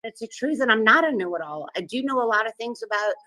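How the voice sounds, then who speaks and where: Woman, quick talker, defensive and insistent, casual chat guest. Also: fairly high pitch, lively intonation, sharp tone, poor audio. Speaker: guest in a clipped live conversation.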